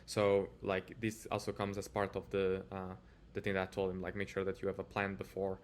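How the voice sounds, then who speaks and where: A man with a Portuguese accent and a somewhat low voice presents a casual software tutorial. He talks informally as a developer showing his screen, hesitating and padding his sentences with filler words. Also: completely flat delivery, fairly quick, slight background noise.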